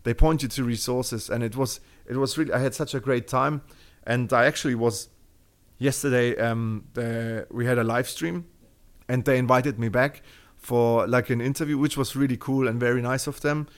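A man's voice: mid-range voice, steady tone, normal speed. A man from Germany with a German accent, speaking as a podcast guest in a casual interview, rambling with many fillers and restarts.

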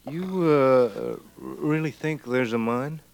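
An actor playing a sly, nosy, villainous American claim jumper in a 1950s radio western, talking in an insinuating, probing way. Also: male voice, mid-range voice, takes it slowly, gravelly, dry recording. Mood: sly, prying. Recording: 1950s radio recording with faint noise.

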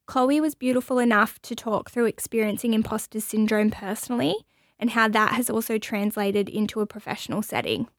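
High-pitched, bubbly woman host. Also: steady tone, brisk, no room sound, clean studio sound. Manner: bubbly and chatty, laughs often. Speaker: podcast host. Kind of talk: casual chat. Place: radio studio.

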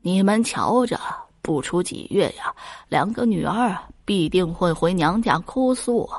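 A woman's voice: lowish voice, animated, unhurried, a little mellow, slightly rough, full character voice. A woman voicing a worried, wise old mother in a folk tale, sighing and admonishing. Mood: resigned scolding.

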